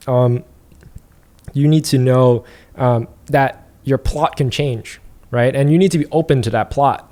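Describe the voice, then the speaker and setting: A man talking as a podcast guest, reflective and laid back, with lots of "like" and "um" fillers. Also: mid-range voice. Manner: relaxed, reflective, many fillers like "like" and "um". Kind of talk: casual podcast conversation.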